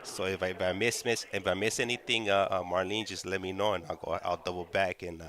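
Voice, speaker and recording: A man hosting a casual live stream, speaking haltingly and often stammering over repeated words. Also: deep voice, fairly even intonation, noisy background. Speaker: host of a call-in stream.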